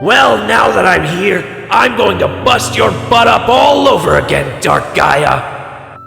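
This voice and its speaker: A man playing a brash but remorseful hedgehog hero turned gruff werehog in an audio drama, with a gravelly voice. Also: sits high, expressive, slow pace, pushed and bright, faint music behind, heavily acted. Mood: defiant. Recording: echoey, long cavernous reverb.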